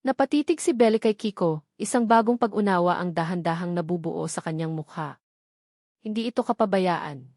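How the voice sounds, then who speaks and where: A woman's voice: fairly low pitch, fairly quick, a little mellow, dry recording, studio quality. A woman reading a scripted dramatic story as its narrator.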